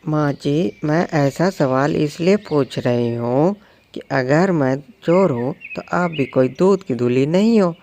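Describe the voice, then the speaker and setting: A man voicing a young daughter-in-law sparrow who pleads, stammers and sometimes talks back in a high, squeaky voice. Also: a little slow, dark tone, a little hiss or hum. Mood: accusing. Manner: pleading, stammering when nervous.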